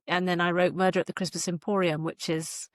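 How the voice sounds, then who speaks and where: A woman's voice: lowish voice, level tone, fairly quick, no room sound, studio quality. A woman giving a casual interview as a guest author, talking in a hesitant, self-interrupting way.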